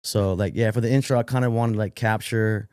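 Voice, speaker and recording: A man in his forties talking in a low, even, mellow voice. His tone is smooth and clear, with a laid-back delivery padded with fillers. The studio sound is dry and close. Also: fairly quick, no room sound. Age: 40s. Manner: laid-back, many "like" and "you know" fillers. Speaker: guest hip hop artist. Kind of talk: casual interview chat. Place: podcast studio.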